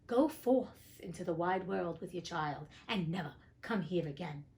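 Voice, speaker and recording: A woman's voice: fairly low pitch, expressive, measured pace, darkish tone. A woman voicing a king's aged mother in a storybook, stern yet compassionate, with a dramatic delivery. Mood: sorrowful, stern.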